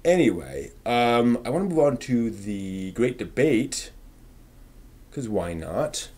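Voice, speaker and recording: A man's voice: medium pitch, big pitch swings, takes it slowly, darkish tone. A man hosting a live stream, chatting casually with viewers in an informal, rambling way as he thinks aloud.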